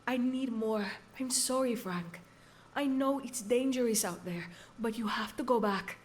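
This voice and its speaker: An actress plays a young woman scientist working through a zombie outbreak in a video game. Her voice sits on the high side, earnest and businesslike, with clear noise behind it. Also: unhurried, natural voice. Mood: urgent, apologetic.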